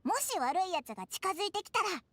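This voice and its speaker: A tiny, bold, floating game companion with a very high, bouncing voice that leaps all over the place. She rattles off her lines fast and eagerly, with game music playing behind her. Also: big pitch swings, a bit bright, slight background noise, full character voice. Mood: protective. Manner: chattery, bouncy and boastful. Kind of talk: game voice acting.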